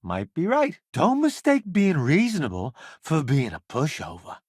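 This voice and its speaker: Wildly swooping, cheeky vain celebrity. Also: male voice, on the high side, huge pitch swings, a little mellow, husky, no echo, clean studio sound, exaggerated voice. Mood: wry, chummy. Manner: theatrical, wry, smug banter with drawn-out emphasis. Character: vain, flamboyant celebrity columnist turned space crewmate, cheeky charmer. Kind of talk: video game companion dialogue.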